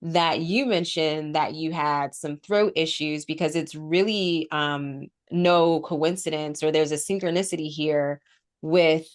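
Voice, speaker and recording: Low-voiced congested woman podcast host. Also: fairly even intonation, a little slow. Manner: talkative, explains at length with ums. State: congested with a cold, sore throat. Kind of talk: casual spiritual podcast chat.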